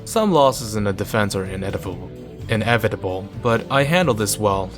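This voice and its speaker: A man's voice: on the low side, animated, brisk, music in the background. A man reading a visual novel aloud in a narrating style, with casual asides.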